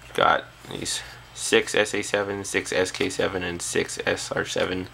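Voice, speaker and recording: A man's voice: low voice, flat delivery, moderate pace, vocal fry, pushed and bright, slight background noise. A man talking casually and off the cuff as a hobbyist presenter, hesitantly thinking aloud at an indoor workbench on a camera's built-in mic. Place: small indoor workshop at a bench.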